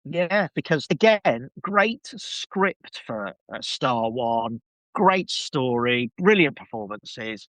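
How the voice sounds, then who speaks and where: A man's voice: medium pitch, lively intonation, takes it slowly, fairly bright tone, no room sound. A man chatting casually as a podcast guest, giving an enthusiastic fan review full of rambling asides.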